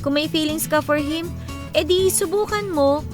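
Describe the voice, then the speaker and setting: An actress playing a confident, playful, big-hearted young influencer in a radio drama, with a Filipino accent and a breezy mix of Tagalog and English. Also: female voice, high voice, normal speed, lightly acted. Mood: easygoing, advising. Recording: music bed under the voice. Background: music.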